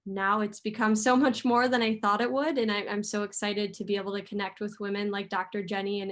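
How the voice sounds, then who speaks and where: A young woman in her twenties talks over a video call, hosting an online class for women. She is chatty and earnest, filling her sentences with ums and likes, in an even middle register. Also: medium pitch. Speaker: host, community founder. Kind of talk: casual video call talk.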